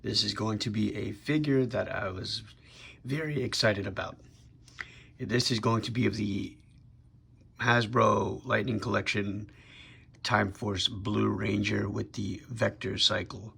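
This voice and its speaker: A young man chats through a toy review while sick, and his voice comes out heavily raspy and gravelly. He talks in a loose, laid-back way, stopping and restarting often. His voice sits low. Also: fairly even intonation, unhurried, a bit bright. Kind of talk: casual video review.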